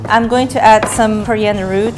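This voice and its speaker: A young woman with a mid-range voice guides viewers through a recipe in a relaxed, step-by-step way. Music plays under her voice, and pans clink in the background. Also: medium pitch, moderate pace. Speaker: cooking show host. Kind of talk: cooking demonstration. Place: restaurant kitchen studio, indoors. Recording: studio cooking show with music under the voices. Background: music, clinking pans and cutlery.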